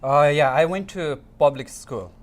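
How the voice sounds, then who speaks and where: A man's voice: fairly high pitch, unhurried, a little hiss or hum. A man from Nepal with a Nepali accent, answering interview questions in hesitant non-native English, searching for words. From eastern Nepal. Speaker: interview guest.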